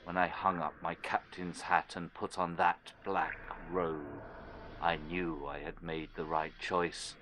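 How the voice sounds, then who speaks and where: An actor playing an immortal former sea captain turned cold cultist in a horror audio drama, speaking in a brooding, deliberate monologue. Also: male voice, deep voice, level tone, a little hiss or hum, full character voice. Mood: proud, devout. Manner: brooding, deliberate, trailing into quiet phrases.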